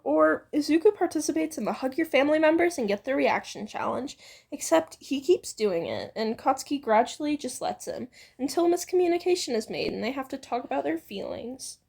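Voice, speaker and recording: A young woman reads fan fiction in a very high, animated voice with a creaky, husky grain. She is playful and giggly, chatting informally as she goes. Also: brisk. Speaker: co-reader on a fan fiction reading channel.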